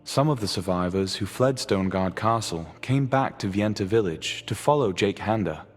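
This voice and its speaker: A man giving measured, formal scripted narration for a fantasy video game story. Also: on the low side, lively intonation, soft background music, close to an everyday voice.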